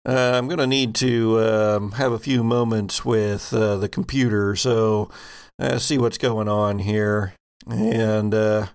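A man playing a steady, businesslike spaceship captain in a game, giving orders haltingly with many pauses. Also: on the low side, smooth. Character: salvage ship captain, male, steady, businesslike, hesitant.

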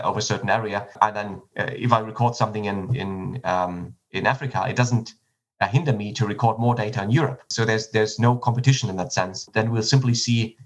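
A man from Germany giving measured, explanatory answers in a podcast interview, often restarting his phrases. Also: lowish voice, lo-fi sound.

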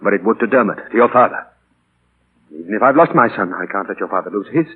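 A middle-aged father, low-pitched and very husky. He speaks earnestly and hesitantly, tender and full of sorrow, in a 1940s radio play with thin, band-limited sound. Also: expressive, fast, phone-quality sound. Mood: pleading. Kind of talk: 1940s radio drama acting.

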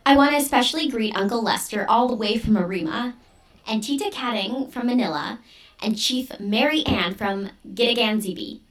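Distant, high-voiced girl reciting , acted by a woman. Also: normal speed, natural voice. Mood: formal, welcoming. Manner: formal, recited. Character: girl formally rehearsing a ceremonial welcome speech. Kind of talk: ceremonial speech in audio drama.

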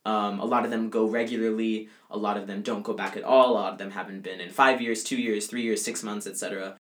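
A man's voice: on the low side, steady tone, quick pace, fairly bright tone. A young male college student answering interview questions casually, with frequent fillers and restarts. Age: young adult. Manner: conversational, many fillers like "like" and "um".

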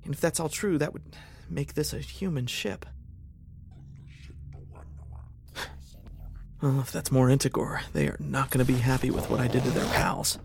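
A man playing an embattled, wry and excitable space fleet lieutenant in an audio drama, talking in a hesitant, urgent mutter. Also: medium pitch, fairly quick, vocal fry, background noise, close to an everyday voice. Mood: wary disbelief. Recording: loud engine-like ship ambience behind.

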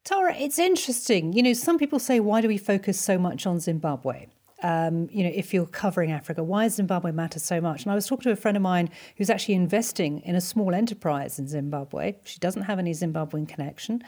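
A woman's voice: on the low side, animated, fast, darkish tone. A woman hosting a podcast, speaking in a conversational presenter's style. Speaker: podcast host. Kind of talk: conversational interviewing and scripted sign-off.

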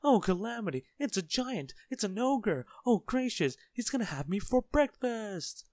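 A tiny, hysterically timid little fellow from a children's storybook. His voice shoots up very high and swings about in fright. He stammers and wails in melodramatic exclamations, recorded dry and close. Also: sing-song, moderate pace, a little mellow, no room sound. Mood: terrified shrieking. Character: tiny timid male panicker, hysterically fearful, easily terrified.

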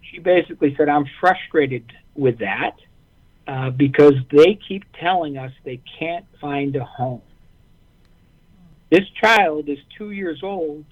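A man from Massachusetts calls into a radio interview and tells his story in an earnest, halting way, stopping and restarting his sentences. His voice sits a little high for a man and comes through a thin, phone-like line. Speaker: guest, nonprofit representative.

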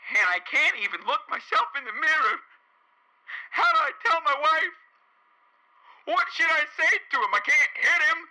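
A man's voice: very high-pitched, bright, forceful tone, heavily acted, low-quality recording. A man playing a distraught middle-aged father calling in to a radio comedy, pleading and overwrought. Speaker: caller.

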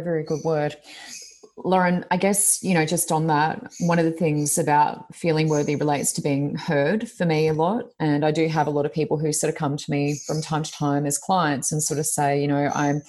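Low-voiced, loud, expressive woman. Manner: loud, expressive, self-reflective. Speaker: podcast co-host, coach. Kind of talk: casual chat.

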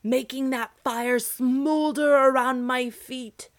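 A woman voicing an enormous, lonely male giant who speaks slowly in a booming way. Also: steady tone, dry recording, clean studio sound. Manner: booming, slow.

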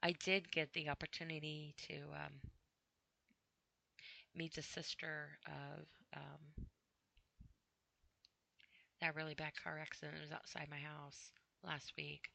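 A tired woman podcasting alone, rambling in a halting, apologetic way with many fillers after crying earlier. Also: low voice, level tone, gravelly, sharp tone, no room sound. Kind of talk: casual rambling monologue. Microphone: computer mic with headphones on.

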